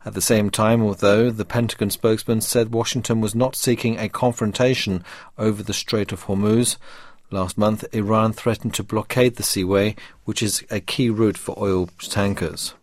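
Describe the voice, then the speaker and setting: A man's voice: lowish voice, steady tone, moderate pace, no echo, clean studio sound. A man reading a scripted news bulletin on the radio. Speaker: radio newsreader.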